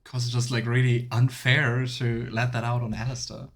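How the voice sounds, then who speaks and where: A man's voice: mid-range voice, little pitch movement, moderate pace. A man streaming a video game with casual let's play commentary, making wry, sarcastic asides.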